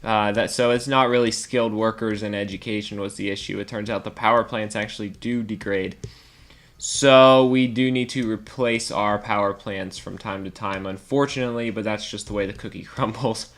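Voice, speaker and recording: A man's voice: lowish voice, fairly even intonation, normal speed, fairly bright tone. Stuffed-up man chatting over gameplay. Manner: chatty, laughs often, thinks aloud. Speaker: let's play host. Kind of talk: casual gameplay commentary.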